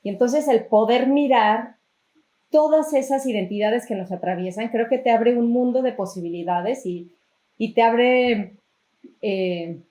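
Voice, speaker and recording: A woman's voice: on the high side, measured pace, dark tone. A woman with a Mexican accent hosting a podcast, explaining things conversationally with strings of examples. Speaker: podcast host. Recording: roomy, echoing sound.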